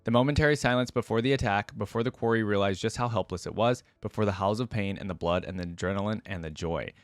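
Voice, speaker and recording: A man with a low voice and a dry, close sound. He reads a story aloud as a reaction host, moving between dramatic narration and casual comment. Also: quick pace, no echo.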